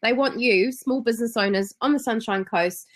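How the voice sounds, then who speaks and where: A woman's voice: a little high, moderate pace, fairly bright tone. A woman with a New Zealand accent hosting a business webinar in an enthusiastic, chatty way. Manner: enthusiastic, chatty, frequent ums. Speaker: webinar host.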